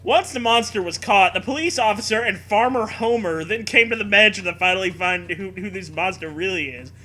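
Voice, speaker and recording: A high-voiced man with a very sharp, forceful tone reads aloud for comic mockery. His delivery is animated and full of swings, with a sarcastic edge. Music plays faintly behind him. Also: a little fast. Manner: animated, sarcastic, laughs often. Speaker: podcast co-host. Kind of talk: comic mocking read-aloud of fan fiction.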